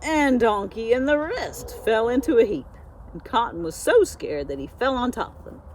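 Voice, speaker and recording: A woman reading a children's storybook aloud, expressively and with dramatic pauses. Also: on the high side, very animated, a little mellow, a little hiss or hum, low-quality recording. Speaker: school media specialist reading aloud.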